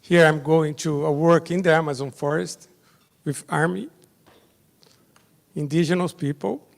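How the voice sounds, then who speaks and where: A Brazilian judge whose first language is Portuguese, giving a conference presentation in halting English with short, simple phrases. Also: male voice, on the high side, fairly even intonation, gravelly. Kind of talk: slide presentation at a summit. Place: large hotel conference hall. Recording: long reverb of a big hall.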